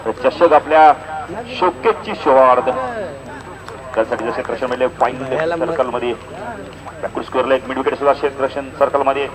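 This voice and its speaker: A man's voice: medium pitch, steady tone, brisk, low-quality recording. A man giving live commentary and announcements at a local outdoor cricket tournament. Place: outdoor village cricket ground. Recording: outdoor event sound, crowd behind.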